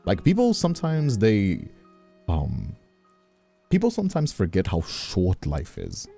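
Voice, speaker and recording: A young man from Brazil chatting casually on a livestream, halting and repeating himself as he checks for agreement. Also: on the low side, wildly swooping pitch, vocal fry, dark tone. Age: young adult. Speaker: streamer. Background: background music.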